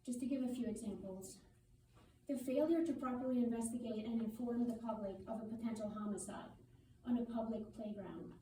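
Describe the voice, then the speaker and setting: A woman reading a prepared public statement as a community spokesperson, in a formal, firm and deliberate way. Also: a little high, warm tone. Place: public meeting room. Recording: roomy meeting hall, distant mic, faint noise.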